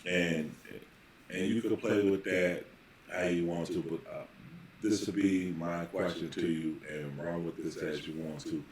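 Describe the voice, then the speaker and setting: A man hosting a casual podcast interview, building long questions in halting, repeated phrases. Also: sits low, steady tone. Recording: very echoey room, faint background noise.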